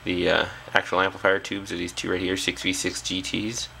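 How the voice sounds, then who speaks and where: A man's voice: sits low, monotone, fairly quick, vocal fry, bright, forceful tone, a little hiss or hum, poor audio. A man talking casually and off the cuff as a hobbyist presenter, hesitantly thinking aloud at an indoor workbench on a camera's built-in mic. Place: small indoor workshop at a bench.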